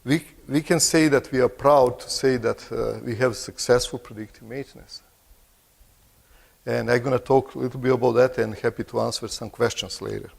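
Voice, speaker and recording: A middle-aged man with a Croatian accent gives a conference talk in a big hall. His voice is gravelly, and the long reverb of the room trails behind each phrase. He pauses, restarts his sentences and clears his throat now and then. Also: medium pitch, fairly even intonation. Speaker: conference presenter. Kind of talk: conference presentation.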